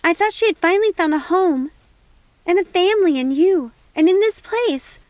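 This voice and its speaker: Storybook young heroine, played by a female reader: high, urgent, distraught, defiant, recorded dry and close. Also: animated, moderate pace, a little mellow, no echo. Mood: indignant. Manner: urgent, impassioned pleading.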